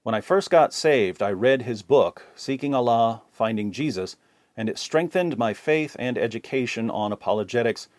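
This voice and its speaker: A man reading viewer comments aloud in a plain, steady voice. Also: medium pitch, moderate pace, dry recording, studio quality.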